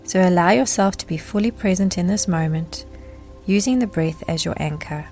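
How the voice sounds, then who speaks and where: A woman narrating a guided meditation as the guide, speaking in a gentle, measured way with deliberate pauses. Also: lowish voice, soft background music.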